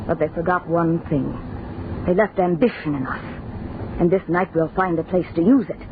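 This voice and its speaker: A woman's voice: fairly low pitch, steady tone, normal speed, close to an everyday voice. Female actor as ageless young woman, bitter and solemn, theatrical vintage radio horror, narrow muffled sound with faint noise. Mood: bitter, resolute. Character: discontented four-hundred-year-old immortal young woman of the future. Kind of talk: old-time radio horror drama acting. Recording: muffled, noisy old radio recording.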